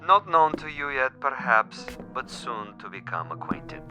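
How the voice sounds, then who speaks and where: Sly fake-friendly agent in a radio drama, slow and very sing-song, creepy polite. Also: male voice, medium pitch, sing-song, music in the background. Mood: sinister courtesy.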